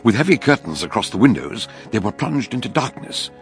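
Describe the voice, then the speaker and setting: An actor playing the narrator of a detective radio drama, deliberate and suspenseful. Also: male voice, on the low side, very animated, soft background music.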